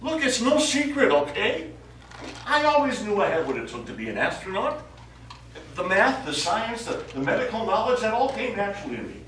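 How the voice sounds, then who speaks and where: Guy onstage as astronaut defending himself to the crew, highish voice, halting, super echoey, bit of hiss. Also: sing-song, fairly bright tone. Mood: defensive, insistent. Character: quiet, guarded male astronaut explaining himself to his crew. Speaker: stage actor in a short play. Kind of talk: live stage play dialogue. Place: small theater studio, recorded from audience distance. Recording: echoey hall, distant mic, faint noise.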